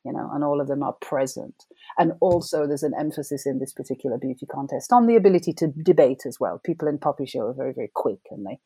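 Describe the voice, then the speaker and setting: Low gravelly-voiced woman novelist. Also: lively intonation, quick pace, warm tone, dry recording. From Jamaica. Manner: thoughtful, reflective, laughs as she talks. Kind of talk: conversational interview.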